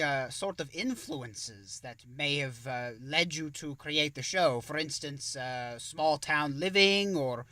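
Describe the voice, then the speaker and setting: A man's voice: a little high, sharp tone, heavily acted. A man voicing a campy vampire count who hosts a horror show and interviews in a grandiose, formal manner. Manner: theatrical, grandiose, formal wording. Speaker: interviewer and host. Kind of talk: theatrical horror-host introduction and interview.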